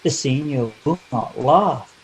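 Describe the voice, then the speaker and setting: A man voicing a mysterious, loving forest figure in a storybook, gently pleading. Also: mid-range voice, lively intonation, slow pace, background noise. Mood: tender pleading.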